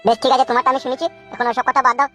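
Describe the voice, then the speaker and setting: A man's voice: very high-pitched, little pitch movement, rapid-fire, over loud music. A man voicing a coaxing, coarse new husband in a comic cartoon, theatrical and colloquial. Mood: pleading, exasperated.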